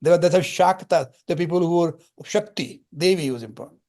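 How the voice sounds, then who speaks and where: An older man with a slightly raised pitch speaks in an unhurried teaching tone. He explains spiritual ideas through homely parables and Sanskrit terms, like a lecturer guiding students. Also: darkish tone.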